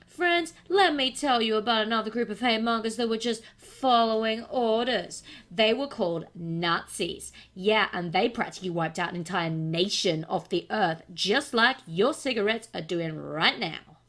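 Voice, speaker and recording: A woman's voice: mid-range voice, moderate pace, few pauses, fairly bright tone, close to an everyday voice. A woman playing an indignant activist in a film monologue, preaching with emphatic sarcasm. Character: indignant anti-smoking activist berating a tobacco seller.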